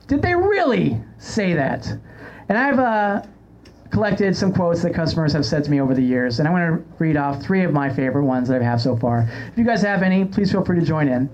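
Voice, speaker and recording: A man's voice: on the high side, lively intonation, a little fast, a little mellow. A man hosting a podcast with casual comedic chat and anecdotes in a joking, self-mocking manner. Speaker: podcast host. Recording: slightly dull, lo-fi sound.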